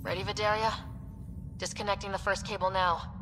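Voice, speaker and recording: A woman's voice: mid-range voice, little pitch movement, brisk, music in the background, close to an everyday voice. Game squad leader, female actor: mid register, commanding, decisive, concise, reverberant. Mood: composed, decisive. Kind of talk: video game voice acting.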